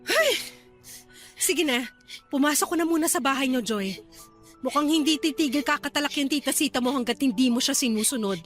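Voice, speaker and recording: An actress playing a protective, feisty young aunt with a Filipino accent in a radio drama, expressive in delivery. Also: female voice, sits high, a little fast, soft background music. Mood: defensive. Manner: expressive, talks back.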